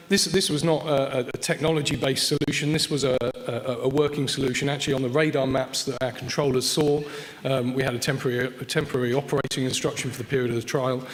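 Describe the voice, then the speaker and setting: A man presents at a conference in a mid-pitched voice. He speaks haltingly, often doubling back on words as he explains his slides. The sound carries the long reverb of a large hall. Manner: hesitant, repeats and restarts words. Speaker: conference speaker from an air traffic control organisation.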